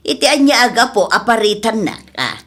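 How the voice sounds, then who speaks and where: A woman's voice: a little high, very animated, brisk, fairly bright tone. A woman voicing a sensible young nephew in a radio drama, reasoning patiently in a conversational tone. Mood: exasperated, calming.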